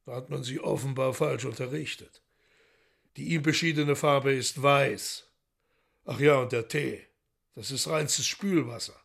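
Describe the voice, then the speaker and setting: An urbane foreign magician in a radio drama, with a creaky, gravelly edge to his voice. He speaks with grand courtesy and lordly, theatrical indignation. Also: mid-range voice, measured pace, a bit bright, exaggerated voice.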